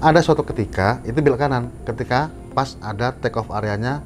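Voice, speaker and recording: A man's voice: medium pitch, brisk. A man explaining his work in a casual chat, agreeable and mixing in English technical terms. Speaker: air traffic controller guest. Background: faint background music.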